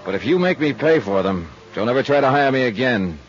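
A man's voice: mid-range voice, expressive, brisk, close to an everyday voice. A man playing a sardonic, hard-boiled insurance investigator with an American accent, clipped and dry, in a 1950s radio drama. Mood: brisk, dry. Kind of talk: 1950s radio drama acting and first-person narration. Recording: muffled 1950s radio recording.

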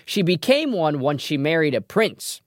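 A quiz show host speaks in a medium register with very animated intonation. The delivery is scripted and playful, dropping in wry asides. Music plays clearly behind the voice. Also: mid-range voice, normal speed.

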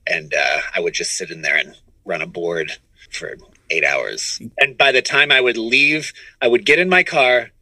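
A man's voice: low voice, moderate pace, bright, forceful tone, dry recording, poor audio. A middle-aged man being interviewed, telling his story in a casual, conversational way. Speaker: interview guest, comedy writer and voice performer.

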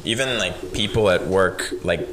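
A young man hosting a podcast, a native Spanish speaker with a light Spanish accent, chatting in a relaxed, rambling way with lots of fillers. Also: low voice, unhurried, poor audio. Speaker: podcast host. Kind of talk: casual chat.

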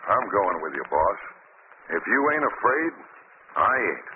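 An actor playing an outwardly loyal bridge worker with a vengeful streak, in brooding old radio drama style. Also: male voice, sits low, very animated, a little slow. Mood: quietly loyal. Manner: brooding, menacing. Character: seemingly loyal bridge worker turned gloating vengeful father. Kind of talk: 1940s radio acting. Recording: noisy, muffled old radio recording.

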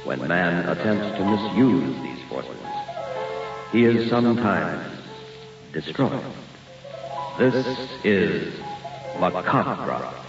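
Solemn horror radio narrator, low, slow, ominous, muffled echoey old recording, music behind. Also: male voice, big pitch swings, distant mic, heavily acted. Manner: solemn, portentous, measured. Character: grave, ominous male narrator of a horror tale.

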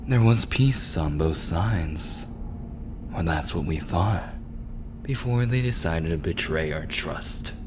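Man playing mafia prince, very deep voice, unhurried delivery, possessive, teasing menace, breathy murmurs, roleplay audio drama, noisy ambience. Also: animated. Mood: cold, grave. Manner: slow, deliberate, teasing threats dropping into breathy murmurs. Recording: clearly noisy background ambience.

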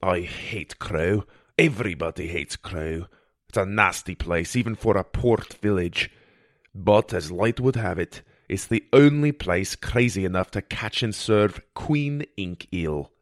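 A man voicing an earnest male mentor and ship healer in an adventure audio story, speaking gravely and emphatically. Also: low voice, measured pace, no echo, studio quality. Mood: disgusted, reluctant.

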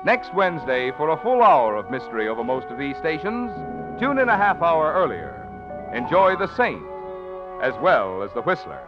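A man's voice: on the high side, huge pitch swings, normal speed, highs cut off. A man announcing on 1940s radio, reading sponsor messages and credits in a polished, formal style. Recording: old band-limited radio broadcast, music behind.

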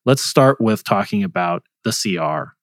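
A man's voice: on the low side, lively intonation, measured pace, dry recording, clean studio sound. A man hosting a conversational political news discussion, asking questions in a rambling, self-interrupting way. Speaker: host.

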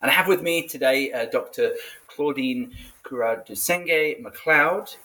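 A man hosting an academic book podcast, introducing his guest in a formal but halting way. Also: mid-range voice, pushed and bright, rough recording. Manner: halting, frequent hesitations. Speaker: podcast host and professor.

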